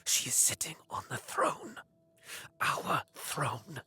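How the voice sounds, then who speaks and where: Brooding, slow-spoken vengeful alien prince played by a man. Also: fairly high pitch, huge pitch swings, bright, forceful tone, husky, full character voice. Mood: seething. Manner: brooding, deliberate, dropping to hissed whispers. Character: young vengeful alien prince, covetous and bitter. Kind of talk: dramatic first-person audio drama narration.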